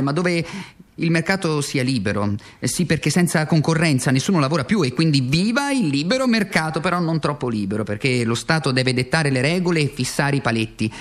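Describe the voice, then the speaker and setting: A male actor plays a disillusioned communist wage earner in a satirical radio theatre monologue. His voice sits fairly high for a man. He is theatrical and bitterly ironic, with desperation under every line. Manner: theatrical, ironic, swinging from deliberate to frantic.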